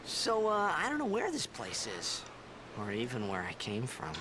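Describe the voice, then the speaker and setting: A man's voice: medium pitch, wildly swooping pitch, normal speed, noisy background. A man playing a boyish, impulsive young star athlete in a video game, with informal, youthful speech. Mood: flustered. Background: loud game music.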